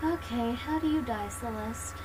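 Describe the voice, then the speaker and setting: Casual woman streamer, high-voiced. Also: normal speed, background noise. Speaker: game streamer. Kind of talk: casual playthrough commentary. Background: game music.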